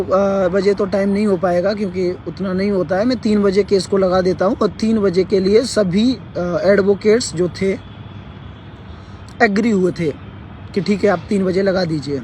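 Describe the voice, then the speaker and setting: A man with a sore, hoarse throat hosting a casual live update, halting with fillers and clearing his throat. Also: sits high, fairly even intonation, darkish tone, slight background noise. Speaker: live stream host. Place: sitting outdoors near a court.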